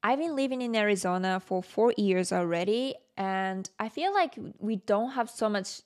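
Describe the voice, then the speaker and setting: A woman's voice: medium pitch, expressive, normal speed, a little mellow. Japanese woman, casual explanatory podcast talk. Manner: conversational, explanatory. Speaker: podcast host.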